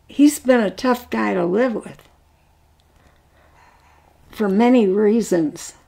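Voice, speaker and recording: A woman's voice: medium pitch, takes it slowly, creaky. An older woman speaking proudly and wryly about her children and long marriage in a home interview. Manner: warm, proud, gently wry. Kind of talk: reminiscing interview. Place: living room at home.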